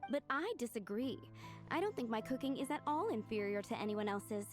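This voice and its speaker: A proud young woman cook from a video game, played by an actress, pitched high. She is polite and confident, with a composed, matter-of-fact way of talking over faint music. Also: expressive, fairly quick, lightly acted. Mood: proud, firm.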